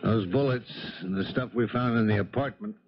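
Man acting plain cop sidekick, lowish voice, brisk, muffled old radio. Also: close to an everyday voice. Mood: matter-of-fact. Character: plain-spoken police detective sidekick. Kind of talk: 1970s radio drama acting. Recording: muffled 1970s radio broadcast.

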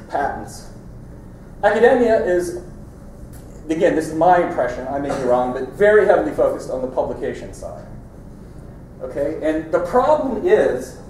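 A man's voice: on the high side, a little mellow, a little hiss or hum. A middle-aged man giving a conference keynote in a large lecture hall, in a conversational, wry way as he points at his slides. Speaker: keynote speaker. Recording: echoey hall from far off.

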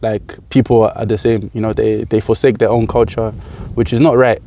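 A man's voice: lowish voice, normal speed, a little hiss or hum. A young man with a London accent, talking casually and with animation as a farmer being interviewed outdoors in a field. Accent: London English. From United Kingdom. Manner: animated, gestures while talking, repeats words.

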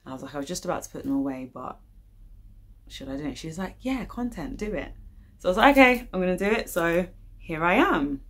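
Low-voiced young British woman, chatty. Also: animated, fast talker. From United Kingdom. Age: young adult. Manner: informal, chatty, slangy. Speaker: YouTube channel host. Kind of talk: casual vlog chat. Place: living room, indoors.

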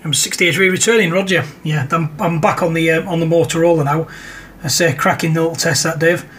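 A man's voice: fairly high pitch, steady tone, fairly quick, a bit bright, slight background noise. A man with a British accent chatting casually as an amateur radio operator. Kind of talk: casual ham radio conversation.

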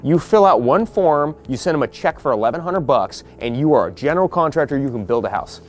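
A man's voice: fairly high pitch, expressive, soft background music. A man, walking a group through a house he is flipping outdoors on a porch, talking bluntly and practically. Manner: blunt, practical, quoting others in quick asides. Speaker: real estate investor leading a property walkthrough. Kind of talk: casual explaining to a group on site.

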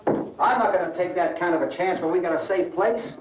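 Male actor as combative American family man, middle-aged, high-pitched, high-strung, insistent, old horror film, distant echoey soundtrack. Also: a little fast, muffled recording, a little hiss or hum, natural voice. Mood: angry, scornful. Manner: argumentative, insistent, repeats himself. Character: stubborn, combative, frightened family man hiding from the undead.

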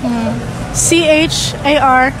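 A woman's voice: high voice, slow pace. A young woman stopped in the street at an amusement park, hesitantly spelling a name letter by letter and laughing at herself. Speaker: passer-by. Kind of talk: casual street interview. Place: outdoor amusement park at night. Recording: music and crowd noise behind.

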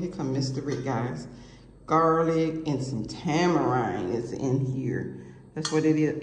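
A woman's voice: deep voice, darkish tone. A woman chatting casually to her viewers from behind the camera in her home kitchen, rambling through what she cooked. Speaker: cooking vlogger. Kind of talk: casual cooking vlog chat. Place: home kitchen table, indoors. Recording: echoey room with faint hiss.

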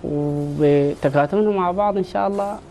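Young sudanese arabic guy in his 20s, football fan on tv, quick halting answers, a bit high, soft tone. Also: crowd noise. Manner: earnest, halting, with filler restarts. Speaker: football fan guest, medical student. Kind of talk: studio interview. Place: TV studio.

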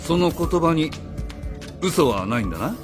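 A man playing a blunt, accusing outsider in a radio drama, confrontational and rough in his speech. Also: fairly high pitch, very animated, a little slow. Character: blunt, accusing male outsider challenging authority. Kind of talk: Japanese radio drama acting. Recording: faint music behind the voice.

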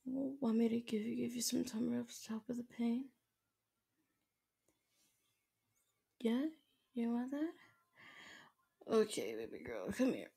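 A woman playing a tender, doting, dominant girlfriend in an ASMR roleplay, speaking softly and gently with breathy whispers, close to the microphone. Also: fairly high pitch, animated, full character voice. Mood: caring, gentle. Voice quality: soft, breathy, often whispering. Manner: slow, gentle, babying. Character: tender, doting dominant girlfriend comforting her sick partner.

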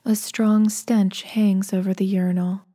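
A detached horror game narrator read by a woman. The voice is dark, soft and breathy, with very little movement in pitch. The delivery is hushed and eerie. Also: little pitch movement, a little slow, close to an everyday voice.

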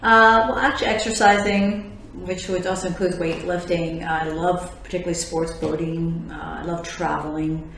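A woman in her forties from Miami, a lawyer and podcast host, answering interview questions in a relaxed way with easy laughs. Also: on the low side, normal speed, a little hiss or hum. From Miami, Florida. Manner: laughs easily, fillers between thoughts. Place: office lounge with large windows. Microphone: tabletop condenser mic. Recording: very echoey room, faint ambience.

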